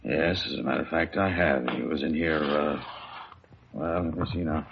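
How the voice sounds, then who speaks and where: A man's voice: very deep voice, moderate pace, gravelly, slightly rough, exaggerated voice. An actor playing a matter-of-fact, mildly absent-minded doctor in a 1950s radio crime drama, musing as he talks. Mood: musing, polite. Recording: dull old radio recording.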